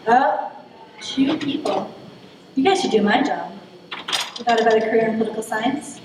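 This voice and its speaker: A young woman with a soft voice giving a chatty, self-deprecating political science lecture and speaking directly to her audience. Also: a little high, moderate pace, background noise. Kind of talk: public lecture. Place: lecture hall. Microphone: distant mic at a lectern. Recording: echoey hall from far off.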